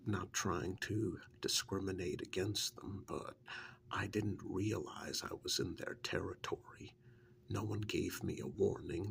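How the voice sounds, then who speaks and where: A man playing a witch's assistant in an ASMR role-play, softly and haltingly confiding his rueful, easygoing stories in a breathy, gravelly half-whisper. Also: sits low, full character voice. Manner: halting, unhurried, confiding, understated.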